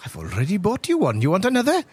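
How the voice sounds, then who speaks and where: Guy doing a tipsy old priest for a tabletop game, husky, rambling, pitch all over the place. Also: huge pitch swings, fast, exaggerated voice. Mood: wry, resigned. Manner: rambling, avuncular, punctuating with "eh" and "right".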